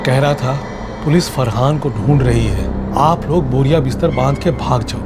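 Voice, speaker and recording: A man's voice: mid-range voice, normal speed, heavy background noise, close to an everyday voice. An actor playing a weary, humble middle-aged farmer and father, pleading earnestly in a TV crime drama. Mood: resigned, firm. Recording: loud dramatic background music.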